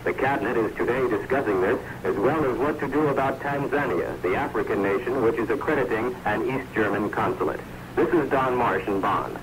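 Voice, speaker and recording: A male foreign correspondent reads a scripted 1960s radio news report. His pitch is middling, and the recording is plainly scratchy and noisy. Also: a little fast.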